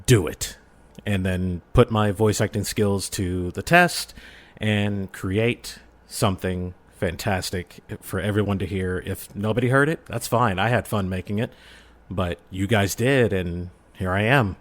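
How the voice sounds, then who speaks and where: A man's voice: fairly low pitch, unhurried. A man chatting casually as a guest in an interview, telling stories with enthusiasm. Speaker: podcast guest, amateur voice actor and audio drama creator.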